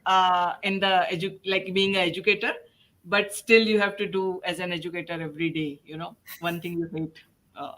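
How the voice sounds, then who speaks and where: A woman hosting a panel discussion as a podcast host, putting questions to guests in halting, hesitant phrases. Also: on the low side, fairly even intonation, a bit bright.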